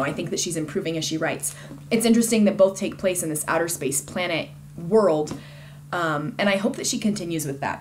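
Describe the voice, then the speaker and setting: A woman's voice: sits low, slight background noise. A woman giving a casual, conversational book review in an informal and enthusiastic way.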